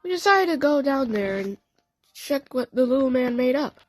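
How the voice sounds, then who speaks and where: A woman narrating a first-person story in a halting way, stumbling and correcting herself as she goes. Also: high voice, animated, faint music behind. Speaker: narrator of a fan audio story.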